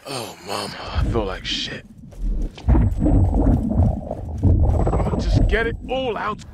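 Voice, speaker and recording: A man's voice: medium pitch, huge pitch swings, measured pace, heavy background noise. A man playing a joking, charming survivor in a zombie video game, flippant and cajoling, with a London accent. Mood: weary. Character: cocky, joking male zombie-outbreak survivor, charming and unbothered. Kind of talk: video game drama acting.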